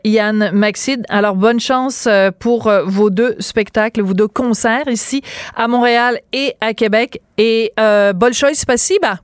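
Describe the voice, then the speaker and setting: A woman's voice: a little high, clear, resonant tone, dry recording, clean studio sound. A woman hosting a radio interview, asking questions with frequent fillers. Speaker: radio host. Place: radio studio.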